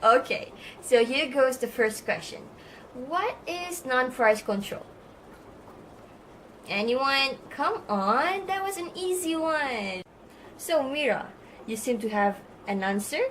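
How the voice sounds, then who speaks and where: A woman's voice: high voice, lively intonation, slow, fairly bright tone, a little hiss or hum, full character voice, low-quality recording. A woman playing an encouraging but firm female teacher in a scripted student puppet show lesson. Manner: instructive, addresses class with questions and praise. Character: encouraging female teacher, praising yet gently scolding her students.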